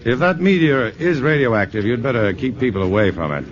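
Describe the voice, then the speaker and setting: An actor playing a shy, self-deprecating scientist in a 1950s radio drama. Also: male voice, medium pitch, animated, fairly quick, close to an everyday voice. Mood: modest, easygoing. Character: modest, bashful male physicist. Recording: muffled vintage radio broadcast.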